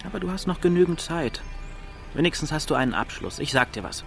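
A man's voice: on the high side, lively intonation, fast, vocal fry, fairly bright tone, soft background music. An actor playing a cocky, scheming young small-town man in a radio drama, talking in a slangy, pushy, offhand way. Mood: probing, insistent. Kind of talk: naturalistic radio drama acting in German.

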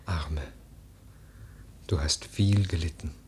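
Melancholy nobleman, lowish husky voice, serious and measured, old literary radio drama style. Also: heavily acted. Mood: pitying, gentle. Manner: grave, measured, philosophical. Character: earnest, melancholy nobleman, the widow's admonishing cousin.

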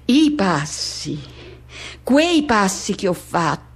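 A woman performing a poetic radio monologue as a man haunted by memories of teenage first love, speaking in halting, insistent fragments. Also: medium pitch, very animated, slightly rough, exaggerated voice. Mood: agitated, exultant. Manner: halting fragments, insistent repetitions, self-questioning.

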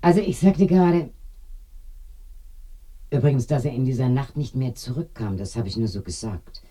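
An actress playing an eerie village widow in a radio drama, confiding and animated, with a dark husky voice that sinks to murmurs. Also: female voice, rumbling low, moderate pace. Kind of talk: binaural radio drama acting.

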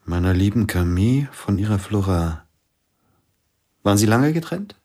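An actor playing a celebrated pianist, melancholy and weary, speaking softly and often in a whisper in a radio drama. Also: male voice, on the low side, animated, normal speed. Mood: curious. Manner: soft, mournful, often whispering.

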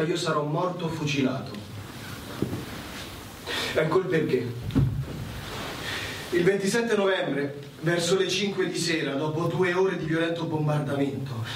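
An actor playing a resigned, earnest young condemned soldier in a theatrical reading of his last letter. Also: sits high. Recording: echoey, distant, faint noise.

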